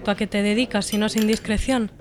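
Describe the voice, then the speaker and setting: A woman's voice: medium pitch, steady tone, fast talker, a crowd in the background, lightly acted. A woman playing a blunt, fed-up young job-seeker. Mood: frank. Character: frustrated young female job-seeking psychologist, blunt. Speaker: unemployed psychologist. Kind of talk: scripted dialogue.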